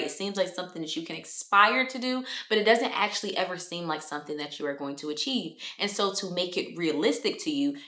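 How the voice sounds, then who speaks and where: A woman's voice: fairly low pitch, a bit bright. A woman in her twenties giving motivational self-improvement advice straight to her viewers, in a direct, chatty way. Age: 20s. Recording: roomy echo.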